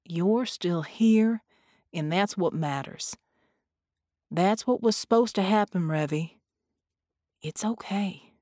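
A woman's voice: medium pitch, takes it slowly, pauses often, warm tone, no echo, lightly acted. Audiobook ghost, male played by a woman: slangy, plainspoken, protective comrade, close dry sound. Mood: earnest, consoling. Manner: folksy, slangy, blunt. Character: gruff, protective male ghost, blunt but consoling.

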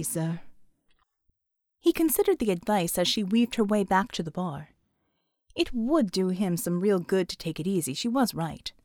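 A woman's voice: mid-range voice, darkish tone, no room sound, clean studio sound. A woman reading an audiobook, narrating fiction expressively from a script.

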